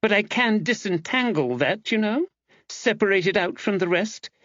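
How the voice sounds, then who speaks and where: Man voicing an upset, high-pitched young woman. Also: animated, a bit bright, dry recording, muffled recording. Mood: upset, insistent. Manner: emphatic, earnest, rushed. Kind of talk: audiobook character dialogue.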